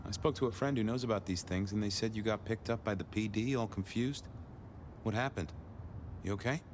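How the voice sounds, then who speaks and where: An actor playing a wry, probing detective in a video game, coolly conversational and casually polite. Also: male voice, lowish voice, a little fast, close to an everyday voice. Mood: concerned, probing. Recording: clear background ambient noise.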